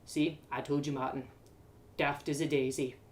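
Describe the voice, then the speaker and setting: A woman's voice: low-pitched, flat delivery, moderate pace, pitched below the performer's own voice, natural voice. A scornful young farm man from a storybook, played by a woman. He sounds bossy, exasperated and dismissive, teasing as he gives orders. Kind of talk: storybook character reading.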